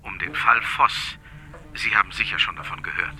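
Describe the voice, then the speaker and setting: A man's voice: deep voice, normal speed, pushed and bright, a little hiss or hum. An actor playing a male lawyer in a radio drama, formal and urgent, with a slightly hesitant manner. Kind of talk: radio drama phone dialogue.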